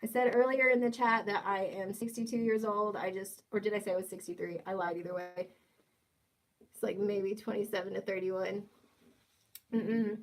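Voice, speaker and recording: A woman's voice: medium pitch, fairly even intonation, moderate pace, poor audio. A woman in her twenties hosting a casual livestream, reading chat comments and joking playfully with viewers. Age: 20s. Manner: playful, teasing, jokey asides. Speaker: livestream host.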